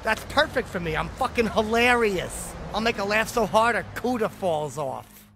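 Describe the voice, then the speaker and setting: A man voicing a crude, lonely older male loudmouth in a cartoon, with a nasal voice over music. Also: high voice, animated, normal speed, background noise. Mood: boastful. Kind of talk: cartoon voice acting. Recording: cartoon audio with music under.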